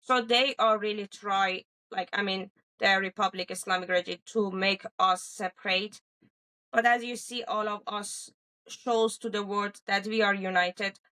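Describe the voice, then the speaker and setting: A woman from Iran with an Iranian accent and Persian as her first language, answering in an interview, haltingly searching for words. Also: medium pitch, steady tone, pushed and bright, rough recording. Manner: halting, searching for words in non-native English. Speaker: interview guest giving a first-hand account.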